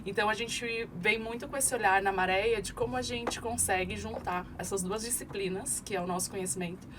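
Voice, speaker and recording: A woman in her forties, from Brazil, a marketing expert guest on a podcast, explaining her career in steady, articulate speech with frequent fillers. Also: mid-range voice, a little fast. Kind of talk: conversational podcast interview. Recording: clear background noise.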